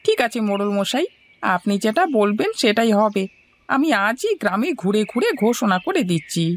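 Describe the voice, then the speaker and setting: A woman's voice: mid-range voice, sing-song, moderate pace. A woman voicing a deferential, eager male village sidekick in a cartoon. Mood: eager, obedient. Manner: obsequious, eager. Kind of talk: scripted cartoon dialogue.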